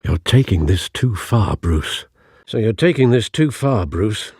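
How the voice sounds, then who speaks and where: A man voicing a fatherly older butler in a video game, formal, measured and gently reproving. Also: fairly low pitch, expressive, slightly rough, no room sound, studio quality, exaggerated voice.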